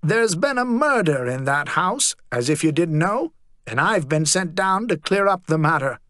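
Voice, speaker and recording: A haughty, snarling male inquisitor with a proud bearing, pressing and intimidating, as a storybook narrator puts him on. The voice sits somewhat high and close, with a dry sound. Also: sing-song, moderate pace, sharp tone, dry recording.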